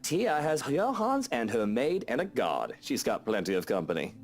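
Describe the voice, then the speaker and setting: A man doing a gentle, swooping grown woman. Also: mid-range voice, wildly swooping pitch, moderate pace. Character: soft-spoken grown woman soothing a child. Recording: faint game music behind.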